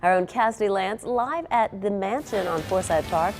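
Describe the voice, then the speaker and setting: A woman's voice: mid-range voice, normal speed, music in the background. A woman anchoring a morning TV newscast, reading a polished scripted lead-in to a live report. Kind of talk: scripted studio news reading.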